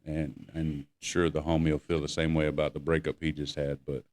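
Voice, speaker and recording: A man's voice: very deep voice, little pitch movement, brisk, a little mellow. A man chatting casually as a podcast co-host, laid-back and full of slang. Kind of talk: casual chat.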